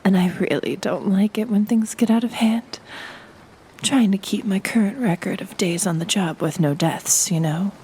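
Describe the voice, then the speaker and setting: A woman voicing a playful, sultry succubus in a flirty roleplay audio, teasing in a breathy half-whisper with a businesslike streak. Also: mid-range voice, moderate pace, dark tone, slight background noise, lightly acted. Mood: businesslike, coy.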